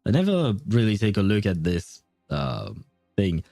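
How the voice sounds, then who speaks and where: A man's voice: lowish voice, very animated, unhurried, creaky, warm tone, no room sound, studio quality, faint music behind. A man livestreaming a game, chatting casually and thinking aloud in a hesitant, chuckly way. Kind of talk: casual livestream chat and commentary.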